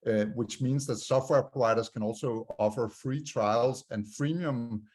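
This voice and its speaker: A man with a Danish accent presents a talk over a video call and fills it with many "uh" pauses. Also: medium pitch, a little mellow. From Denmark. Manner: many "uh" fillers, measured delivery. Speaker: guest speaker.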